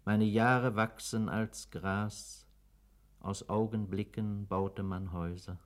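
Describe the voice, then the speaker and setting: Slow, solemn low-voiced man. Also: fairly even intonation. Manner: measured, solemn. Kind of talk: solemn poetry recitation in a radio play.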